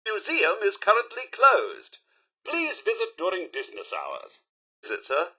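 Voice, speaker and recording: Video game robot butler, British, bright forceful tone, husky edge, formal, overwrought, theatrical. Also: male voice, high-pitched, wildly swooping pitch, a little slow, exaggerated voice. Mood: bright, promotional. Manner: formal, theatrical, posh politeness. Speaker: robot servant. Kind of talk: scripted video game character lines.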